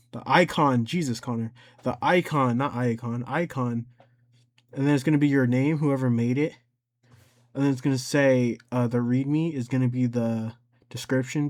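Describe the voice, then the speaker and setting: Halting man giving a casual tutorial. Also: medium pitch, darkish tone. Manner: halting, repeats instructions and self-corrects. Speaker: tutorial creator. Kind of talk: casual unscripted screen-recorded tutorial. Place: voice-over for a screen recording.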